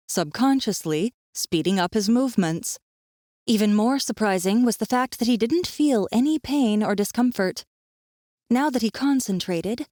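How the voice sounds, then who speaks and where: A female audiobook narrator telling a fantasy story from a script. Her voice sits at an average pitch in a dry, close recording. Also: a little mellow, no room sound.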